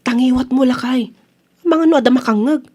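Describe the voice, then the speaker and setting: A woman playing a brisk, defensive food stall owner with an Ilocano accent in a radio comedy drama. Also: high voice, warm tone, slightly rough. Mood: bossy.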